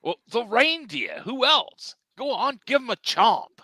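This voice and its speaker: Hapless, earnest cartoon hero, voiced by a man: melodramatic, lively swings, dry close sound. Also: very high voice, measured pace, dry recording, full character voice. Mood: encouraging. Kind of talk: live dramatic table read of a cartoon.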